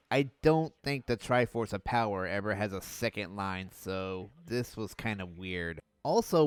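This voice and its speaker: A young man reviewing an old cartoon in a sarcastic, mocking voice-over with deadpan jabs. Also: medium pitch, clear, resonant tone, no echo.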